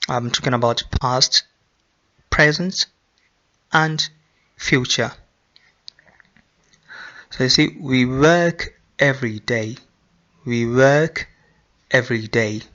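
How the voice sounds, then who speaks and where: A man teaching English grammar, repeating example sentences slowly with frequent ums. He is from Nigeria. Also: mid-range voice, bright, forceful tone. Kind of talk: slow, deliberate grammar lesson. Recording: dull lo-fi sound.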